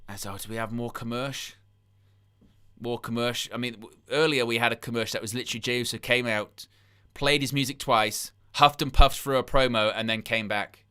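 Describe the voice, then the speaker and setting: A man's voice: medium pitch, steady tone, a little fast, sharp tone, smooth. A man hosting a live wrestling watchalong, rambling through incredulous asides and reading chat comments aloud between plugs for likes. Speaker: wrestling livestream host.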